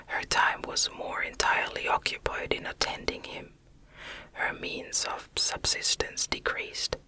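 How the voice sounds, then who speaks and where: A woman reading a classic novel as ASMR narration in a hushed, unhurried, measured whisper. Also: poor audio. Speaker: audiobook reader.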